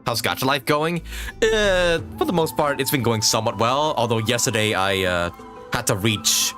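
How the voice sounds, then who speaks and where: A man's voice: medium pitch, expressive, moderate pace, fairly bright tone, faint music behind. A man live-streaming a game, chatting casually with viewers in a joking, musing way.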